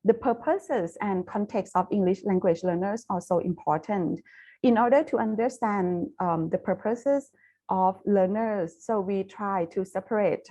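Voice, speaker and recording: A Thai woman, whose first language is Thai, presents academic research in English with a clear Thai accent. She speaks carefully and haltingly, often pausing on fillers and restarting phrases. Her voice sits in an ordinary middle pitch and comes through a video call. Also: mellow, low-quality recording. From Thailand. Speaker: university lecturer presenting research.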